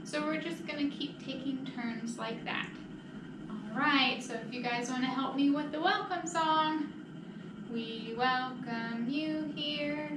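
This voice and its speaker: A woman's voice: sits high, measured pace. A woman leading storytime as a children's librarian, talking simply and encouragingly to small children. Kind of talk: children's storytime reading and singing. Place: library children's room, indoors. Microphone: distant camera microphone across the room. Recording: echoey room, distant mic, noisy.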